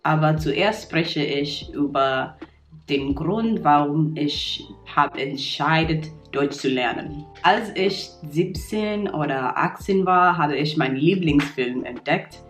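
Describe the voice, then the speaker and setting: Slow, unhurried American woman speaking German. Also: low voice. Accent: American English. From New York. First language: English. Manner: learner's German with small slips and fillers. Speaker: vlogger. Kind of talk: casual talk to camera. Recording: roomy echo, soft guitar music.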